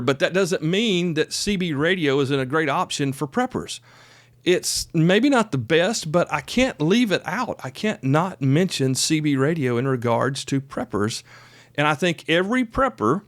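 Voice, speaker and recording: A man's voice: on the high side, no echo. A man in his fifties hosting a solo podcast in a casual, folksy, chatty way, stressing his points and drifting into asides. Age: 50s. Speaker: podcast host.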